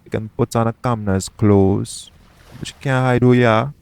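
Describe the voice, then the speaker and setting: A man acting a shrewd, smug pirate captain in an audio roleplay, with a taunting, deliberate, persuasive way of talking. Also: mid-range voice, fairly even intonation, vocal fry, very noisy, lightly acted. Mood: knowing, taunting.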